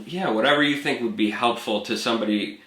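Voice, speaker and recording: A man's voice: mid-range voice, fast. A man hosting a music interview series, asking questions and chiming in with short affirmations. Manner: relaxed, affirming listener. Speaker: interview host. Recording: echoey room.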